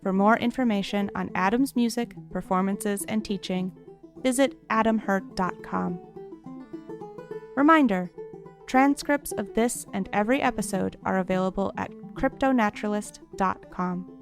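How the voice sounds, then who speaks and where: A woman's voice: medium pitch, moderate pace, faint music behind. A woman reading scripted end credits as a podcast announcer.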